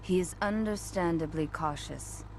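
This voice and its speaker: A woman playing a cool, sardonic Japanese crime boss in a video game, speaking in a formal, measured, wry way. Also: fairly low pitch, slight background noise. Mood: brisk, commanding. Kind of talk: scripted video game cutscene acting.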